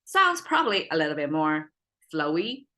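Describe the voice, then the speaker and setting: A woman's voice: deep voice, big pitch swings, moderate pace, bright, forceful tone. A woman with a Chinese accent, a native Mandarin speaker, giving an informal language lesson in a rambling, self-correcting way. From China. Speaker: language teacher, podcast host.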